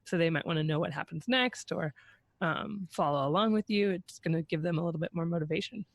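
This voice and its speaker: A man with a fairly high voice co-hosts a podcast discussion. He speaks conversationally, and the recording is dry with almost no room sound. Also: a little fast, creaky, no echo. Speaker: podcast co-host.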